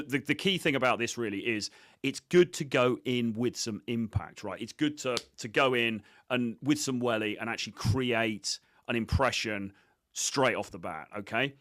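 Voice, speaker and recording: A man's voice: medium pitch, a bit bright, no echo, studio quality. A man giving informal coaching commentary on video clips, blunt, sweary and self-assured. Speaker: dating coach presenter.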